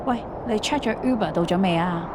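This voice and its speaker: A woman's voice: on the low side, brisk, background noise, lightly acted. A woman with a Hong Kong accent playing an impatient, nagging wife in an audio drama, with a brusque way of talking.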